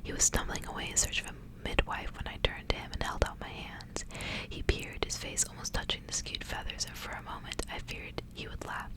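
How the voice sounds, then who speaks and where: Female ASMR reader for literary fiction. Whispers throughout, breathy and gentle, narrating in short phrases. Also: a little fast, poor audio. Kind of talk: ASMR whispered storybook reading.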